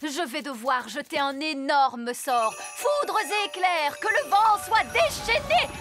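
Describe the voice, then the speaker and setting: An actress playing excitable, theatrical fairy women of a royal household in a French cartoon dub, exclamatory, with music behind. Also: female voice, high-pitched, takes it slowly. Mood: brisk.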